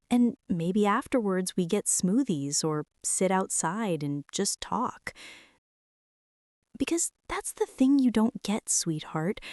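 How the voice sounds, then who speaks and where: A tender, earnest college girlfriend, acted by a young woman in an intimate ASMR roleplay. Her voice is dark and soft, and she takes her time with every phrase. She is reassuring and direct, recorded very close and dry. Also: medium pitch, dry recording. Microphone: close, dry microphone.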